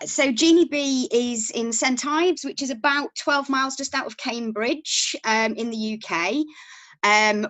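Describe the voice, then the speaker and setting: A woman's voice: on the high side, a bit bright, no echo. A woman from Yorkshire with a Yorkshire accent, a podcast guest talking casually, with plenty of ums and restarts. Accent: Yorkshire English. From Yorkshire, England. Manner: chatty, many ums and restarts. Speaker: podcast guest, company founder. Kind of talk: casual interview talk.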